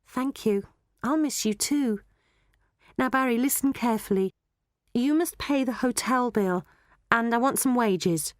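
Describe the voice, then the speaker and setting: A woman puts on a young storybook girl, pitched high and light. She is clever and bossy, giving orders politely but firmly, in a dry recording. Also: measured pace, long pauses, no echo, natural voice. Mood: polite, commanding.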